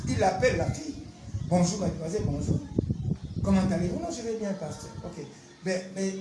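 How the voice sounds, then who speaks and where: A man, a pastor giving an animated, emphatic testimony and teaching with repetitive storytelling. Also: high-pitched, noisy background. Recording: roomy echo, faint music behind.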